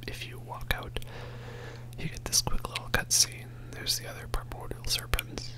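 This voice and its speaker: A man whispering a soft, rambling ASMR commentary over gameplay as host of his channel, joking about himself with quiet laughs. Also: low-quality recording. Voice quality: whispering throughout.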